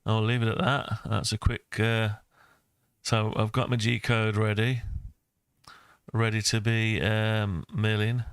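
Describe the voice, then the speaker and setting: A man's voice: fairly low pitch, little pitch movement, slow pace, smooth, no room sound, clean studio sound. A man in his sixties giving a casual screen-recorded tutorial, rambling and thinking aloud as he goes. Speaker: maker and video host.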